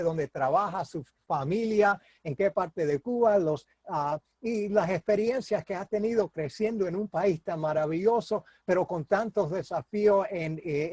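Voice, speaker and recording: A man's voice: sits high, mellow, no room sound. A man interviewing a guest in a jovial, rambling way, asking long loose questions and laughing along. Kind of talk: casual interview chat.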